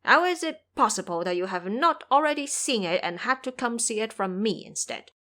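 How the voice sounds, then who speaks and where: A woman voicing a cunning dark male monster king who talks with courtly politeness, in a storybook reading. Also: a little high, expressive, moderate pace. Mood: puzzled.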